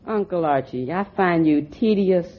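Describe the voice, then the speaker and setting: An actor playing a haughty, smug young nephew who loves big words, in a 1940s radio comedy. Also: male voice, high-pitched, animated, moderate pace. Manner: haughty, big-word vocabulary. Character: snooty precocious boy nephew, smug. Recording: dull old radio broadcast, noisy.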